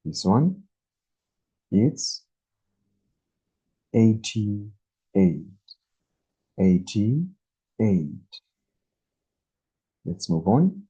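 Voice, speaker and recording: A man in his twenties teaching a beginner English lesson, speaking very slowly and deliberately and repeating each number. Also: fairly low pitch, big pitch swings, mellow, slightly rough. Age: 20s. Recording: dull lo-fi recording.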